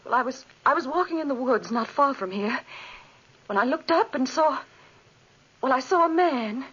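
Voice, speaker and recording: A frightened young wife speaks in a high, breathless voice. She stumbles and repeats herself with great sincerity. It is a muffled 1940s radio drama recording. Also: expressive, a bit bright, breathy edge, exaggerated voice. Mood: shaken. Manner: breathless, halting, repeats words.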